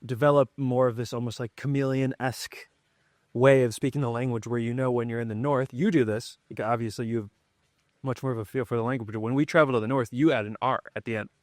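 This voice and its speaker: Relaxed man giving learning advice. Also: medium pitch, fast, no room sound, clean studio sound. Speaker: podcast host. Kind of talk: casual conversation.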